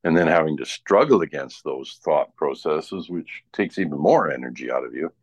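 Man, low-pitched voice, dry close sound, casual rambling chat, frequent "right?" tags. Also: expressive, normal speed, no room sound, highs cut off. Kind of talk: casual chat, personal anecdotes.

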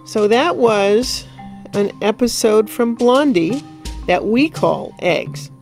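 Female podcast host, informal friendly delivery, unhurried, faint music underneath. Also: on the high side, expressive, darkish tone.

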